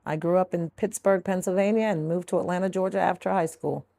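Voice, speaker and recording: Mellow, low-voiced sanctuary founder. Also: female voice, fairly even intonation, brisk, vocal fry, no room sound. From Trinidad and Tobago. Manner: earnest, reflective, with frequent fillers. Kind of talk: documentary interview.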